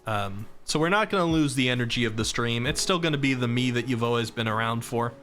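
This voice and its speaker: A young man streaming live, chatting casually about himself with a rambling, self-deprecating manner, his voice starting to go hoarse from talking so long. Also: mid-range voice, animated, a little fast, fairly bright tone, soft background music. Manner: rambling and self-deprecating, reading chat and laughing.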